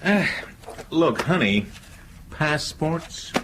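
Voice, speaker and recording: An actor playing a patient but exasperated husband in a radio drama, wearily reassuring. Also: male voice, fairly high pitch, animated, extremely slow, background noise. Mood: patient exasperation. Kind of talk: broadcast radio drama acting.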